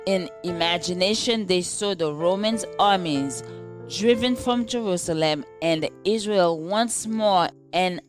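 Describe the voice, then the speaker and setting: A woman reading a scripted daily devotional in a measured, deliberate manner. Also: fairly low pitch. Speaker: devotional reader. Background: background music.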